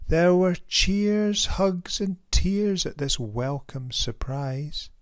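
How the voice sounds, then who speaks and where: A man reading a rhyming children's picture book aloud in a theatrical, measured and emphatic storyteller's manner. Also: high-pitched, lively intonation, no room sound, clean studio sound. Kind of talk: expressive rhyming storybook narration.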